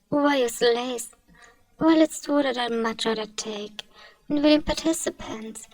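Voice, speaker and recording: A woman playing a gentle, wealthy, possessive vampire in a soothing, genteel ASMR roleplay. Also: a little high, no room sound, distant mic, rough recording. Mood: reassuring, genteel. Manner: soothing, genteel, addresses listener as dear. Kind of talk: ASMR roleplay, soft scripted acting.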